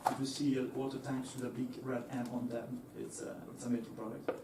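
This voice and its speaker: A man's voice: medium pitch, level tone, fairly quick, background noise. Man speaking in a roomy hall. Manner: hesitant, many fillers and restarts, laughs easily. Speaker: engineer giving a talk. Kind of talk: presentation to an audience. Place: lecture hall. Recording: echoey hall, voice from far off.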